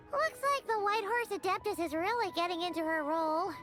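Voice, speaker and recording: A woman voicing a small, cheerful, chatty childlike fairy companion in a video game, squeaky and exclamatory. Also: normal speed, over music. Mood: wry. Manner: speaks of herself in third person, exclamatory. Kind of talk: video game character dialogue.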